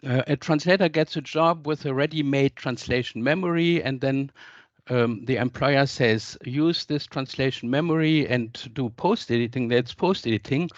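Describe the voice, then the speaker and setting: A man's voice: mid-range voice, no room sound. Man on dull, dry lo-fi audio. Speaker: audience questioner. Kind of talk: academic discussion, posing a question.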